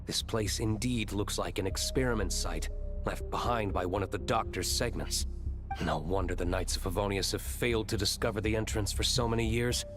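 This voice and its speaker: A man voicing a calm, observant investigator in a video game, measured and matter-of-fact. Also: lowish voice, over music, natural voice. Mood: analytical.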